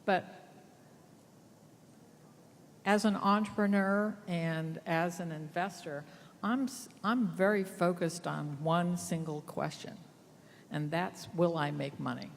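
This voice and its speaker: A woman's voice: fairly low pitch, takes it slowly, gravelly, pauses often, a little hiss or hum. A woman investor and entrepreneur giving direct advice on a panel. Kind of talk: panel discussion. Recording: reverberant hall with faint ambience.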